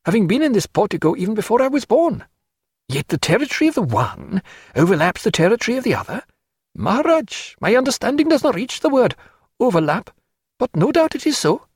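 A man voicing an excitable, deferential Indian porter in an audiobook, speaking in florid, formal phrases. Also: very high-pitched, husky, no echo, studio quality, pitched above the performer's own voice, exaggerated voice. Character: excitable deferential Indian porter, father tending chained tigers. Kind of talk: audiobook character voice.

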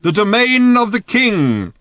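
A man voicing an old male village elder in a story, urgently shouting commands in drawn-out calls. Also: sits high, sing-song, no echo, studio quality, voice pushed up, full character voice. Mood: shouting, emphatic.

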